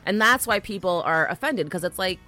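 A woman co-hosting a casual podcast, speaking bluntly and swearing for emphasis. Her voice sits fairly low, with faint music in the background. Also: lively intonation, brisk, bright, forceful tone. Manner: blunt, profane, frequent agreeing murmurs. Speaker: podcast co-host.

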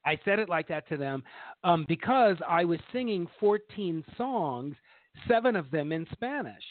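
A man co-hosting a casual talk show, telling long animated stories about his stage work. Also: sits high, normal speed, dry recording. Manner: animated, long-winded storytelling. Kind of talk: casual chat. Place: Los Angeles. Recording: tinny phone-like line, dry.

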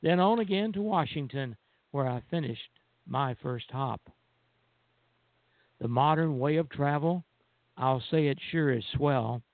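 A man co-hosting a radio show, speaking in a measured, deliberate way over a band-limited phone line. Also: mid-range voice, no room sound. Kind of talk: poem recitation, then casual show talk.

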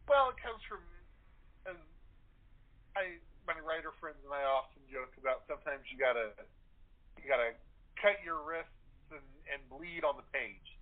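A man's voice: fairly high pitch, lively intonation, moderate pace, pauses often. A man talking casually and candidly as an interviewed playwright, with some self-deprecation. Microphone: telephone or internet call. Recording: tinny telephone-like sound.

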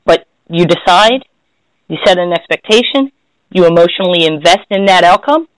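A woman's voice: fairly low pitch, lively intonation, moderate pace, dry recording, poor audio. A woman hosting a spiritual talk, speaking in a thoughtful, searching way and often correcting herself. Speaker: host. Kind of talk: reflective casual conversation.

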